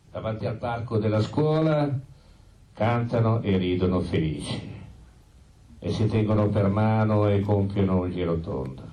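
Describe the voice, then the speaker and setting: A man narrating a theatre monologue in a solemn, deliberate storytelling manner. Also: fairly low pitch, lo-fi sound. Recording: echoey room, distant microphone.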